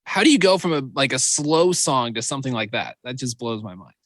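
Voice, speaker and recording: A man's voice: mid-range voice, lively intonation, brisk, a bit bright, dry recording. A man hosting a radio show, asking easygoing, enthusiastic questions in a casual interview. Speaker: radio host.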